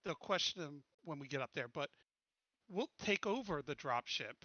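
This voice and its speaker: A man's voice: on the high side, expressive, moderate pace, pushed and bright, no echo, lo-fi sound. A man talking casually as a tabletop game player, thinking aloud while he plans his moves.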